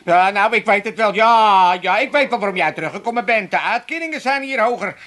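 An actor playing a crafty, excitable old father in a comic radio drama, spluttering and repeating himself. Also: high-pitched, a little fast. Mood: spluttering, indignant. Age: older.

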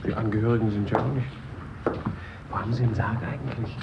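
A low-voiced cynical funeral gossip. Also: male voice, quick pace. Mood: matter-of-fact. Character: gossiping male funeral guest, nosy, cynical. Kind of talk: German radio play dialogue. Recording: dull radio recording, clear background noise.

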